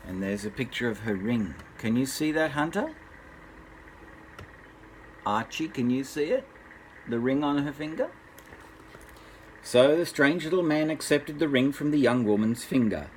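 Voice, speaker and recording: An older man, a grandfather, narrating a children's bedtime story in an unhurried, expressive way. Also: medium pitch, a little hiss or hum, rough recording.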